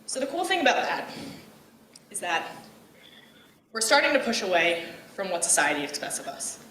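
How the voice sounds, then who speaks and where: Young woman giving a loud, outgoing talk. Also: mid-range voice, big pitch swings, normal speed, recorded from a distance, slight background noise. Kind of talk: personal speech on gender roles. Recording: echoey room, voice from afar.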